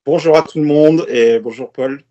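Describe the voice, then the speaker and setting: A French man in his fifties, a guest tattoo artist, telling stories in a relaxed, chatty way. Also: fairly high pitch, lo-fi sound. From France. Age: 50s. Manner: relaxed storytelling, quick asides and laughs. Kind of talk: casual podcast chat.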